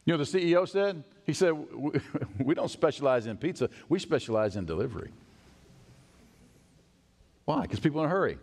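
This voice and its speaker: A middle-aged man preaching a sermon as pastor in a large church sanctuary, animated, acting out hurried voices and dropping to a hush. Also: a little high, creaky. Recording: long church reverb.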